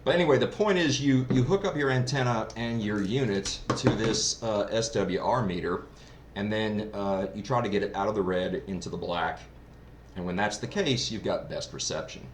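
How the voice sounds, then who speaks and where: A man's voice: lowish voice, normal speed. A man giving a casual, unscripted gear review in a rambling, explanatory way, like a hobbyist who is new to it. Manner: rambling, explanatory, self-described novice. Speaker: product reviewer. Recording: roomy echo, clear background noise.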